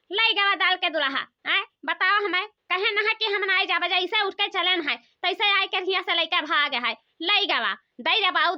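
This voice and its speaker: A woman playing a sharp-tongued, put-upon village daughter-in-law in a comic cartoon, nagging in rustic talk with an Awadhi accent. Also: extremely high pitch, heavily acted. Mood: alarmed, calling out. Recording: dull lo-fi sound.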